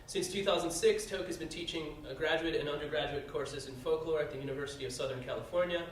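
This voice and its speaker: A man moderates a conference panel and reads each speaker's introduction aloud, often pausing on "uh". His voice sits a little high. It reaches the mic from a distance in a very reverberant room with faint background noise. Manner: reads bios with frequent "uh" fillers. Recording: very echoey room, heard from a distance.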